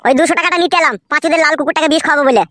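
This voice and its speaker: A woman voicing a brazen, argumentative young village boy who fibs smoothly in a comedy cartoon. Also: high voice, very fast, pushed and bright, a little hiss or hum. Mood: brazen, insolent. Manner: insolent, argumentative, matter-of-fact.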